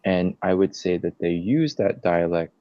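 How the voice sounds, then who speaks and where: A young man in his twenties answering interview questions casually, pausing often with fillers. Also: low voice, lively intonation, warm tone, no echo. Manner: hesitant, many fillers and restarts. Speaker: interviewee, nursing student. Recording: dull, dry lo-fi sound.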